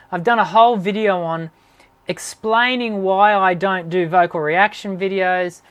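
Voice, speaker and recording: Rambling woman vocal coach. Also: lowish voice. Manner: meandering, thinks aloud, self-correcting. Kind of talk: live stream viewer Q&A.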